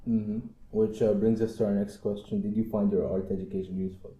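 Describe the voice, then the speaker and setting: A man's voice: lowish voice, flat delivery, a little fast, dark tone, echoey room, highs cut off. A young man, a student interviewer, reading out short prepared questions and giving brief reactions.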